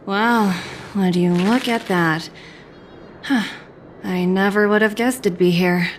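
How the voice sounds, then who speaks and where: A woman's voice: medium pitch, takes it slowly, slight background noise, close to an everyday voice. A woman playing a confident, shrewd young corporate agent in a video game, composed, wry and thoughtful. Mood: intrigued. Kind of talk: video game cutscene acting.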